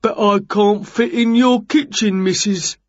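A woman's voice: medium pitch, steady tone, a little slow, darkish tone, no echo, dull audio, close to an everyday voice. A woman voicing a casual working man, a matey builder in a children's story, with colloquial, offhand talk. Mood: matter-of-fact.

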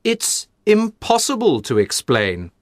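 A man playing a shrewd, courteous gentleman detective with thoughtful, drily ironic delivery in a dramatised audiobook. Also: on the high side, huge pitch swings, no room sound, studio quality, voice pushed up, heavily acted. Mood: certain. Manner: thoughtful, deliberate.

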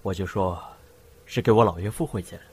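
A man plays a brooding smuggler boss in a radio drama. His voice is very low and restrained, heavy with suspicion and grief, over audible background noise. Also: fairly quick, a little mellow, lightly acted. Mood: teasing, casual. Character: brooding male drug-smuggler boss in love, grieving and suspicious. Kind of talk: amateur Chinese audio drama acting. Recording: hissy amateur home recording.

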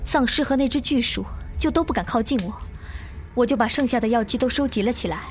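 Actress as a serious, higher-voiced scientific woman survivor. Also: fast talker, slight background noise, close to an everyday voice. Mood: earnest recounting. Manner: earnest, explanatory. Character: serious woman survivor with scientific know-how, explanatory, determined. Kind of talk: audio drama acting.

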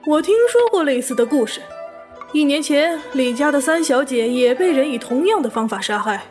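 A woman playing a shrewd, composed young gentleman detective in a period audio drama, with wry delivery. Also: sits high, animated, normal speed. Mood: cool, aloof. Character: cool, shrewd young gentleman sleuth of ancient China. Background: faint music.

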